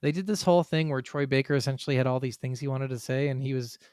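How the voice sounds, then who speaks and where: A man's voice: mid-range voice, quick pace, a little mellow, clear, resonant tone, no room sound, clean studio sound. A man co-hosting a podcast in casual chat, working through his notes with rambling, sometimes profane asides. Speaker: podcast co-host.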